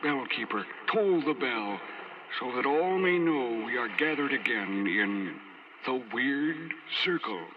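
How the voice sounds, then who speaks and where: A male actor playing a solemn summoner of eerie tales, with theatrical, ominous, drawn-out delivery in 1940s radio style. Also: medium pitch, expressive. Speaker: announcer opening a radio mystery series. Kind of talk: 1940s radio acting, solemn scripted introduction. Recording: noisy, muffled 1940s radio recording.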